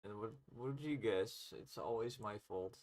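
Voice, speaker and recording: A man's voice: lowish voice, moderate pace, darkish tone, low-quality recording. A man chatting casually on a game development livestream, muttering to himself as he thinks aloud while working.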